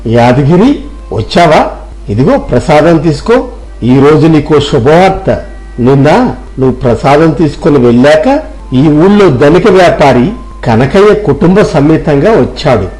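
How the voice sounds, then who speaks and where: A man voicing a kindly, fatherly village temple priest who gently advises in a storybook tale. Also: on the high side, sing-song, a little slow, faint music behind, low-quality recording. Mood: encouraging. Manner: gentle, advising, addresses listener as child. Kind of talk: storybook character dialogue.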